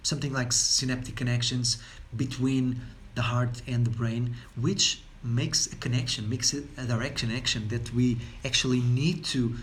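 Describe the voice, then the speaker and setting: A man's voice: mid-range voice, fairly even intonation, normal speed, hardly any pauses, slight background noise. A man from Portugal with a Portuguese accent, speaking about well-being in an unscripted talk, rambling along with many fillers and repeated words. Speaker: wellness speaker.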